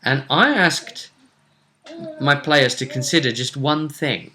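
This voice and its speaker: A man co-hosting a casual recap of a tabletop game as game master, telling it in a measured storyteller's way with pauses. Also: mid-range voice, bright, forceful tone.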